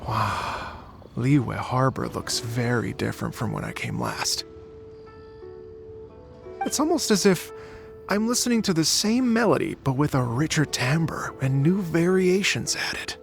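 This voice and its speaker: A man's voice: medium pitch, very animated, unhurried, vocal fry, over music. A man voicing a gracious, poetic young travelling musician in a video game, with a courteous, lyrical, earnest delivery. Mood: awed, delighted. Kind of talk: video game character acting.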